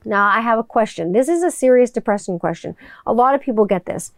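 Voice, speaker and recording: Casting note: woman voiceover artist in a loose, rambling home monologue; smooth clear voice, dry close sound. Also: fairly high pitch, animated, quick pace, dry recording. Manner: chatty, rambling, swears casually. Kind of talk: casual monologue to viewers. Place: home room with people upstairs.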